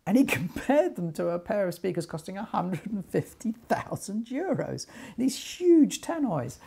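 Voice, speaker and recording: An older man tells a story informally, acting out bits of dialogue as he goes. His voice is gravelly and soft-edged, with a husky grain and a middling pitch. He sometimes doubles back on his words. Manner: animated retelling with quoted dialogue, repeating words. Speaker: loudspeaker designer telling company story. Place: small attic sitting room, indoors.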